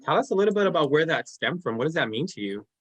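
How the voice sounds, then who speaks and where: A man's voice: a little high, big pitch swings, quick pace, breathy edge. Friendly man moderating a panel. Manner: friendly, encouraging, reads out quotes.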